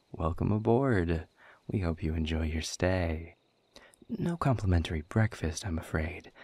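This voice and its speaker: A man playing a sardonic, world-weary demon director in a scripted audio roleplay, speaking in dry, sarcastic, wordy drawls with a husky, breathy low voice. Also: a little mellow, no echo. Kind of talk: scripted audio roleplay monologue addressed to the listener.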